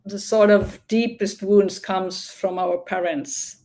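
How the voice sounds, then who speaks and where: A woman's voice: medium pitch, steady tone, slow, dark tone. A woman guest on a video call, speaking as a with supportive affirmations. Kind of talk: casual interview conversation. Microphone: video call mic.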